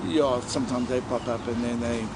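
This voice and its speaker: A South African man, a drummer, chatting in a relaxed interview, halting with fillers and joking. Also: mid-range voice, heavy background noise. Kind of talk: casual interview chat.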